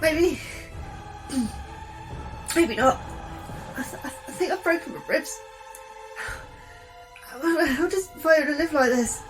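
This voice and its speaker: A woman's voice: high-pitched, slow, pauses often, faint music behind, rough recording. A woman playing an anxious but encouraging scientist, informal and wisecracking, in a sci-fi horror audio drama. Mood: nervous bravado. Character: anxious, encouraging female scientist, plucky and self-deprecating.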